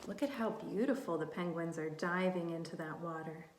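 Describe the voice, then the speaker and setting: A woman doing a read-aloud storytime for young children in simple, encouraging language, animated and often asking questions. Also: lowish voice, slight background noise. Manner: animated, asks children questions and pauses for answers. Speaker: early childhood teacher reading aloud to children. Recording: roomy, echoey room sound.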